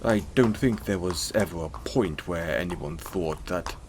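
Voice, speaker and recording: A man playing a kind, apologetic centaur in an audio roleplay, speaking gently and hesitantly to the listener in a reassuring manner. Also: low voice, measured pace, background noise. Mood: gentle, forgiving.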